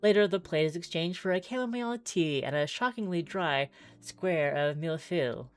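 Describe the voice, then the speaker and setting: A woman's voice: lowish voice, normal speed, clear, resonant tone. A woman streaming a visual novel, reading the text aloud theatrically with wry, exclaiming asides.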